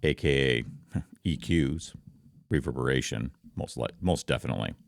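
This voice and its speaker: A man with a very deep, rumbling voice, explaining things casually and conversationally to viewers, close on a studio microphone. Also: moderate pace, vocal fry, dry recording. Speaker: content creator, streamer. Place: home office.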